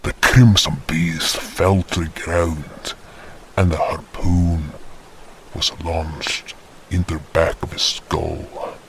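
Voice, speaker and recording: A man playing a solemn hunter who narrates a fantasy tale of battle in a grave, breathy, deliberate way. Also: low voice, fairly even intonation, slight background noise. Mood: grave, tense. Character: solemn hunter recounting a monster fight. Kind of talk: scripted dramatic fantasy story narration. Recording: music bed behind the voice.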